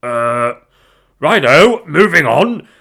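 Man acting boisterous theatrical husband, pitched high. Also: sing-song, unhurried, pushed and bright, full character voice. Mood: blustering. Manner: theatrical, exclamatory, sing-song.

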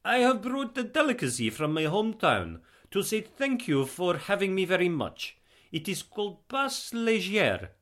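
A courteous, proud young man from a storybook comedy, acted out by a male narrator, speaking in an Eastern European accent. His voice sits fairly high and bright, with earnest, foreign-sounding phrasing. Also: very animated, moderate pace.

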